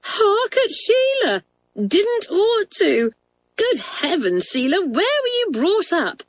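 An impatient schoolgirl from a children's storybook, acted out by a woman narrator. She is blunt, scornful and quick to scold, with a high, very animated delivery. The sound is close and dry. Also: no room sound. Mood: outraged, scornful. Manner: exclamatory, scolding, emphatic.

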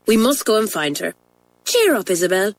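A woman voicing a bold, impulsive schoolgirl in a storybook, with a brisk, forthright manner. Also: medium pitch, big pitch swings. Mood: bold, urging.

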